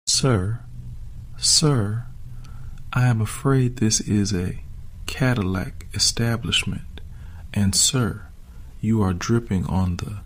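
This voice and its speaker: A man's voice: lowish voice, slow pace, long pauses. A man playing a snooty, condescending car salesman with stiffly formal speech in an audiobook drama. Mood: flustered, haughty. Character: snooty, pressed and pomaded male car salesman. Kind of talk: audiobook drama character acting.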